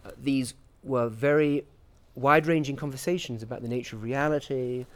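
Guy scientist being interviewed, thoughtful and articulate, medium pitch, academic wording. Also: fairly even intonation. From grew up in Portugal, England and the US. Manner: articulate, measured, academic phrasing. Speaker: interviewee, scientist at a research institute. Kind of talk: reflective interview answers.

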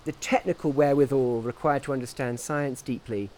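Male interviewee, scientist. Mid-pitched, measured and articulate, reflective academic delivery. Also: fairly even intonation, darkish tone, slight background noise. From grew up in Portugal, England and the US. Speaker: interviewee, scientist at a research institute.

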